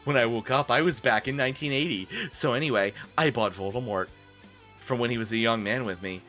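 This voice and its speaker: Bright-voiced man giving a theatrical reading. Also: mid-range voice, brisk. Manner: theatrical, often breaks off into amused asides. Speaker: streamer doing a dramatic reading. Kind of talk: comic dramatic reading of fan fiction for a live stream. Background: music.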